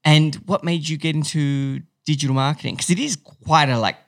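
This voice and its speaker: A man interviewing a guest in a casual way, his voice gravelly and close to a dry mic. He asks long, rambling questions and often stumbles and starts over. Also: a little high, steady tone, moderate pace, fairly bright tone, dry recording.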